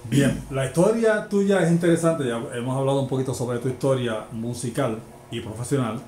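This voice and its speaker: Puerto Rican podcast host, roomy echo. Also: male voice, mid-range voice, normal speed, dark tone. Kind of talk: conversational interview. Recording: echoey room, faint background noise.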